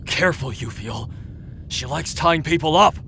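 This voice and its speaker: A man's voice: fairly high pitch, expressive, normal speed, pushed and bright, a little hiss or hum. A man playing a wry, blunt, wary male warrior in an audio drama.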